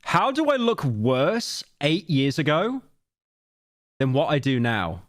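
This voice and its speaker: A man in his late twenties streaming a reaction video, talking casually and rambling, with self-deprecating asides and sudden loud outbursts. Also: a little high, huge pitch swings, slow pace, no echo, studio quality. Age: late 20s.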